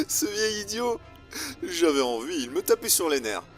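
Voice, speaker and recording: A man's voice: high voice, huge pitch swings, slow pace, soft background music, heavily acted. Man acting a gleeful, taunting villain, swooping voice. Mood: gloating. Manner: mocking, singsong, theatrical. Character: mad, taunting male villain, gleefully cruel traitor. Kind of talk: amateur audio drama acting.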